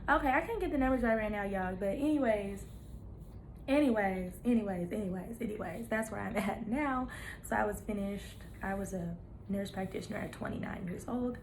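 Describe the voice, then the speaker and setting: A woman's voice: medium pitch, a little fast. A woman in her thirties talks straight to her viewers in an easy, chatty way, cheering them on with playful asides and laughs between thoughts. Her voice sits in a middle register. A faint hum from the room is behind her. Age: 30s. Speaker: nurse practitioner vlogger. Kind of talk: casual chat to camera.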